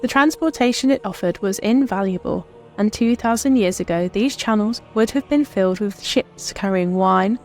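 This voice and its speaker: A woman's voice: medium pitch, normal speed, hardly any pauses, faint music behind. A woman telling history from a script as a tour presenter.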